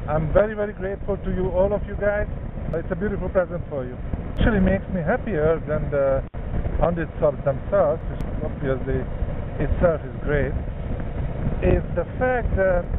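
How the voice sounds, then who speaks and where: A man with a rather high voice talks into a helmet camera mic while he rides a motorcycle outdoors. The sound is narrow and tinny, as over a phone line. Loud wind and traffic noise rush behind him. He speaks English as a Hungarian native, chatty and grateful. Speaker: motovlogger. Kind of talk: casual vlog talk while riding.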